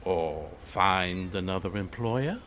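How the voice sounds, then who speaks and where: An actor in an audio drama playing a genial, generous older shipowner, avuncular and measured, with small hesitations. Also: male voice, deep voice, lively intonation, a bit bright, slight background noise, exaggerated voice. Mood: measured, firm.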